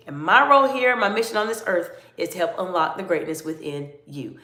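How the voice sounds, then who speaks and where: Animated American woman coach, echoey room. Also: medium pitch. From United States. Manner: animated, greets viewers by name. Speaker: executive coach. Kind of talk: livestream talk with chat.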